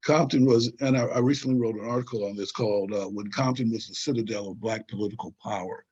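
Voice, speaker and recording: An older man with a husky edge to his voice, recorded on a dull, lo-fi mic. He tells history in long, digressive stretches and stresses his points as he goes. He pulls the listener along with rhetorical questions. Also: lowish voice, steady tone, fast talker, darkish tone. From Southern California. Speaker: guest, historian and author. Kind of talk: interview conversation.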